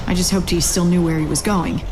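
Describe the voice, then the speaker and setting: An actress playing a grim young cadet who narrates a past mission in a video game. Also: female voice, on the low side, steady tone, brisk, background noise, close to an everyday voice. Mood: reflective. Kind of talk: scripted retrospective narration.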